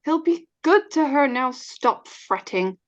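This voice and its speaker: A woman acts out a motherly, soothing middle-aged wife in a classic radio play. Her voice is high and gently reassuring, heard through a slightly dull recording. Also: lively intonation, slow. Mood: soothing, brisk. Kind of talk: old-time American radio drama acting. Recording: dull, muffled online recording.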